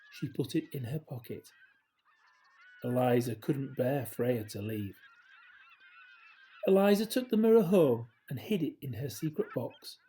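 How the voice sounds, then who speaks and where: Slow, mellow male children's storyteller. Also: on the high side. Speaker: storybook reader. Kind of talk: scripted children's story narration, read aloud.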